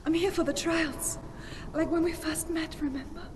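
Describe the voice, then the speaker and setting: A woman's voice: very high-pitched, fairly even intonation, normal speed, background noise. A woman voicing a desperate young warrior searching for a lover, with emotional, pleading urgency in a video game. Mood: tender, longing. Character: desperate young female Pict warrior seeking her lover.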